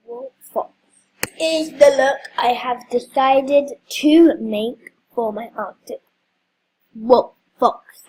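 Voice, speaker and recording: Hoarse-ish woman vlogger, halting and unhurried, lots of filler, faint hiss behind. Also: on the high side, darkish tone, low-quality recording. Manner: hesitant, many ums, chatty. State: losing her voice. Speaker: video host. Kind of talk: casual game walkthrough vlog.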